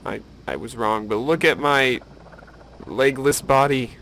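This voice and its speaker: A man's voice: mid-range voice, measured pace, vocal fry, a bit bright, a little hiss or hum, rough recording. A man giving casual, rambling game commentary with musing, wry asides to the viewer. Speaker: gameplay video host.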